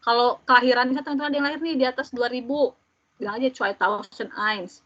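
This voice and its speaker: A young woman in her twenties teaching, explaining step by step and slowly repeating each example for learners. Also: sits high, steady tone, a bit bright, no echo. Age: 20s. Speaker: German language teacher. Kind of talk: slow, patient classroom explanation. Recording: dull, dry lo-fi audio.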